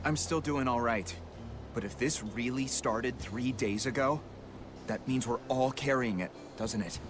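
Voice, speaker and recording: An actor playing a loyal, cheeky pirate crewman in a video game, chatty and expressive in an informal, colorful way. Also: medium pitch, measured pace, noisy background, lightly acted. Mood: alarmed, dutiful. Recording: game music behind the voice.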